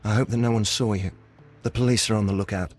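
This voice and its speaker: An actor playing a wary criminal conspirator in a game, clipped and businesslike, with a husky voice. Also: male voice, fairly low pitch, steady tone, fast, faint music behind, natural voice. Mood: curt, wary. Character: curt, wary male criminal conspirator giving orders. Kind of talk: scripted game dialogue.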